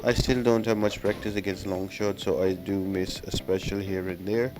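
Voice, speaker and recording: A man's voice: low voice, fairly even intonation, moderate pace. A man giving instructional walkthrough commentary on mobile game fights, explaining each step as a guide. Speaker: gaming video creator giving guides. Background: music.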